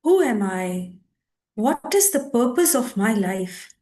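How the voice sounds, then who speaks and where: A woman leading a guided meditation as a teacher, speaking in deliberate, measured phrases with pauses. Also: a little high, big pitch swings, dark tone. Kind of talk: guided meditation with reading aloud and instructions.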